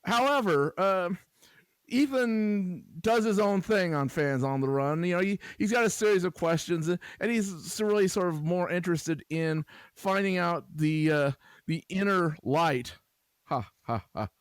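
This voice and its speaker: Dry, deliberate podcast co-host. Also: male voice, high voice, smooth, dry recording. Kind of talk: casual podcast banter.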